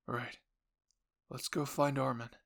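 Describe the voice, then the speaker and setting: A man's voice: mid-range voice, level tone, slow pace, gravelly, fairly bright tone, exaggerated voice. A man voicing an earnest, protective young male soldier in an audio roleplay, pleading and reassuring. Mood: concerned.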